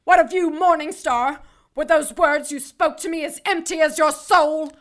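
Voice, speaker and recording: A proud warrior archangel in an audio drama. The high voice is commanding and sardonic, full of defiance toward captors. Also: female voice, moderate pace, fairly bright tone. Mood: outraged.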